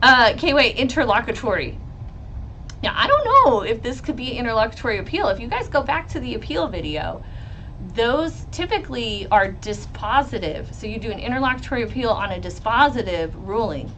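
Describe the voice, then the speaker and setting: A woman lawyer with a loud voice, hosting a live stream about a trial and reading chat questions. She talks bluntly, swears freely and laughs often. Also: on the high side, big pitch swings, a little fast, slight background noise, rough recording. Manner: blunt and profane, with frequent laughs. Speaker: lawyer and legal commentator.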